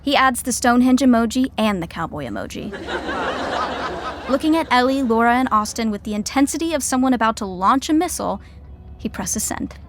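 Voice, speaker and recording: Woman as wry, higher-voiced sitcom narrator. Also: expressive, brisk, slight background noise, natural voice. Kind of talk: scripted narration.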